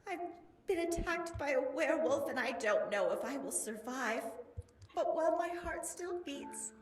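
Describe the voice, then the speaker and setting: A woman's voice: sits high, lively intonation, moderate pace, recorded from a distance. A woman playing a lovelorn vaudeville starlet, earnest and melodramatic, in an improvised radio soap opera. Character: lovelorn vaudeville starlet reading her dying love letter.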